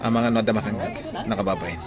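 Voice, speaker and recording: A man's voice: fairly low pitch, fairly even intonation, quick pace, a crowd in the background, lightly acted. A man with a Filipino accent playing a loyal, upright young family man in an audio drama. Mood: firm, rebuffing.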